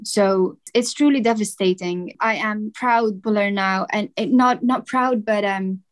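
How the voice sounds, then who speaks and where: A woman's voice: mid-range voice, unhurried. A young woman from Palestine answering interview questions earnestly, searching for words with restarts. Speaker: guest.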